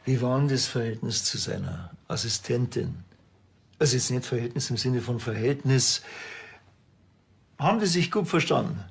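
An actor playing a dry, offhand small-town police officer with a Bavarian accent in a TV crime comedy. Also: male voice, mid-range voice, animated, normal speed, vocal fry, breathy edge, full character voice. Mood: dry, probing. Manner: offhand, laconic.

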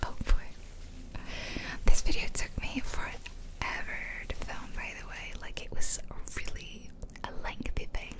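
A woman whispering chatty, self-deprecating commentary over gameplay in a soft, breathy ASMR style. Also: slow pace, poor audio. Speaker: ASMR gaming video host.

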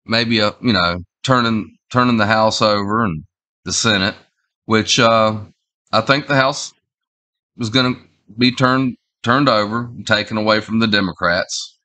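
A man in his forties with a head cold, his voice stuffy and nasal. He talks in a rambling, halting way, full of fillers and restarts, and his lowish voice has a forceful, bright edge. He is recorded on a webcam in a small room at home. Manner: rambling, halting, many fillers and repeated starts. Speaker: online commentator. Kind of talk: casual opinion vlog.

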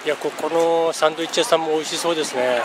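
A man's voice: a little high, fairly even intonation, fast. A man narrating his travel vlog in casual chat, thinking aloud with lots of fillers as he walks around a city and eats. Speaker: travel vlogger. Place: outdoors on city streets and in a cafe. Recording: street noise behind, camera mic.

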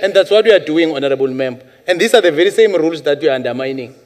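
A middle-aged man presiding over a parliamentary session, giving rulings in a halting, repetitive way. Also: a little high, expressive. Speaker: presiding chairperson. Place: large parliament chamber, indoors. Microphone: desk microphone at presiding chair. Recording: reverberant parliament chamber.

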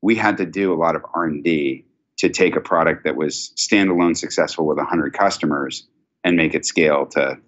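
Very deep-voiced man on dull call audio. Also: normal speed, fairly bright tone. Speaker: company chief executive, guest. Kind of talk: conversational business interview. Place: indoor office, video call.

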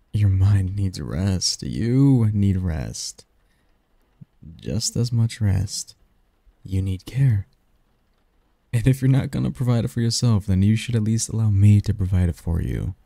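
A man voicing a seductive, doting sleep demon lover in an intimate ASMR roleplay, breathy and coaxing, close to the mic. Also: lowish voice, expressive, mellow, no echo, exaggerated voice. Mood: tender, pleading. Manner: slow, coaxing, teasing, murmured. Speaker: roleplay audio performer. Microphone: close, dry microphone.

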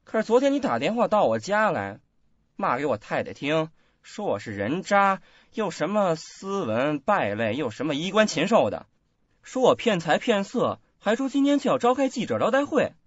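An actor playing a cold, indignant young husband in a radio drama, stern, articulate and accusing. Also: male voice, high voice, sing-song, moderate pace, no echo. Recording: dull lo-fi audio, faint music.

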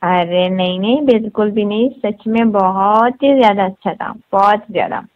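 A woman's voice: medium pitch, moderate pace. A woman chatting casually on a recorded phone call in a playful, teasing manner.

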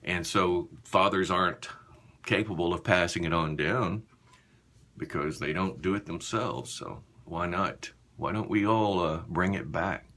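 A man in his fifties chatting casually and haltingly to viewers in a small bathroom while busy with a task. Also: low-pitched, a bit bright. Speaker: shaving vlogger.

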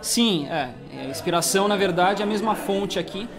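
A man's voice: high-pitched, brisk, crowd noise. A man from Brazil at a collectors' table chat, explaining cartoon history and peppering his talk with tag questions. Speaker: toy collector guest. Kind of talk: casual panel chat. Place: indoor room, seated at a table of toys.

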